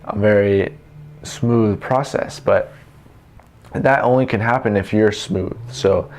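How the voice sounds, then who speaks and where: A young man giving casual, unscripted advice in an informal, halting way with trailing thoughts. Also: lowish voice. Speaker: vlogger giving self-help tips. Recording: noticeable background noise.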